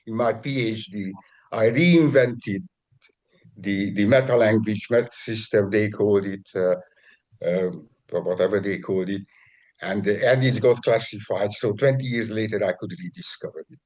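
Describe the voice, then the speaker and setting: A man on a video call explaining his work in a halting way, often restarting and repeating words. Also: lowish voice, a little mellow. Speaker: guest describing his work. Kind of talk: casual video call conversation.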